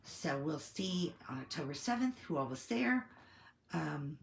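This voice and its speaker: A woman co-hosting a commentary show, getting over a cold and slightly hoarse, giving her opinions in a measured, thinking-aloud way. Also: sits low, very animated, reverberant, slight background noise. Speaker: co-host of a TV commentary channel.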